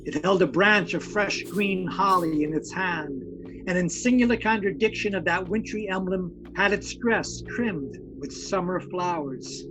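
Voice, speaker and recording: A man's voice: sits high, measured pace, noisy background. A man narrating an audio drama in formal, literary, dramatic storytelling phrases.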